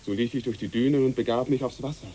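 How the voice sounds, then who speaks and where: A man playing a dreamy soldier, speaking poetically and deliberately in a literary radio play. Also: medium pitch, mellow, natural voice. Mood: dreamy, brooding. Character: dreamy soldier narrating a visionary memory. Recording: hissy background.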